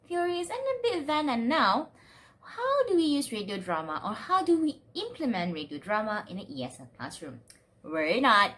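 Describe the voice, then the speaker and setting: A woman's voice: high-pitched, fairly bright tone. A woman giving an instructional student presentation in a playful, upbeat manner, posing questions to her listeners.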